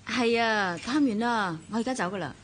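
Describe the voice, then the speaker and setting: A woman's voice: on the high side, normal speed, a little hiss or hum, lightly acted. An actress playing a sociable, reflective well-off woman in a radio drama, with a Hong Kong Cantonese accent. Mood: politely chatty. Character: well-off, sociable, somewhat wistful woman narrating her romance.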